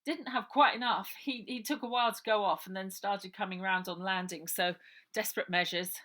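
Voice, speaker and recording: A woman's voice: mid-range voice, fairly even intonation, moderate pace, sharp tone, natural voice. A woman voicing an earnest, hesitant, heroic boy in a children's storybook reading. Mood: explaining.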